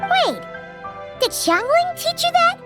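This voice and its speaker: A woman voicing a tiny, excitable, childlike floating companion in a video game, with a squeaky voice and cute exclamatory phrasing. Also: slow pace, full character voice. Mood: doubtful. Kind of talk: video game character acting. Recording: loud music behind the voice. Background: game music.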